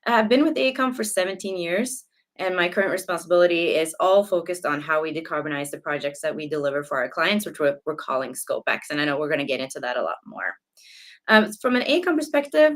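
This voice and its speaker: Low-voiced woman from Norway. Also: animated, fairly quick. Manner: friendly, articulate, occasional fillers. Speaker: guest executive. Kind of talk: interview answers.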